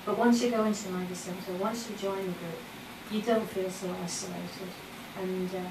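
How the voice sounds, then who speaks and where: A woman's voice: mid-range voice, steady tone, moderate pace. Woman panelist, plain and slightly hesitant; echoey room, noise. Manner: plain-spoken, a little hesitant. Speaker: panel member. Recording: echoey room, distant mic, background noise.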